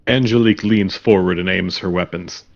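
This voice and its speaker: Deep, deadpan male narrator, slightly dull audio. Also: moderate pace, gravelly. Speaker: narrator reading stage directions.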